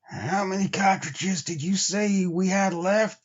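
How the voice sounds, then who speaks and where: A man's voice: high-pitched, slow pace, dull audio, pitched above the performer's own voice. A man voicing an irritable, sleepy frontier sled driver with folksy speech, in a storybook reading. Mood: sleepy, dismissive.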